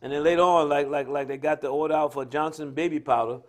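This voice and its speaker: A man's voice: fairly high pitch, fairly even intonation, quick pace. His voice sits well above most men's. He is an American speaker giving unscripted religious social commentary. He talks in a colloquial, storytelling way and hammers points home by repeating them. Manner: emphatic, repetitive, colloquial storytelling. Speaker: speaker on a religious talk live stream. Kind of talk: impromptu preaching-style social commentary.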